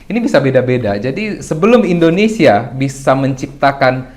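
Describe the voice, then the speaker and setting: A young adult man, a podcast guest, talks through ideas in a loose, reflective way, asking himself rhetorical questions and often clearing his throat. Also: mid-range voice, lively intonation, normal speed, darkish tone. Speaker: guest speaker. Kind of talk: casual podcast discussion.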